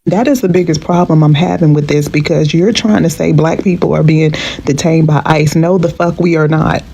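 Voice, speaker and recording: A woman's voice: sits low, fairly even intonation, moderate pace, vocal fry, dark tone, slightly rough. A woman delivering a blunt, insistent social media rant in an indignant way.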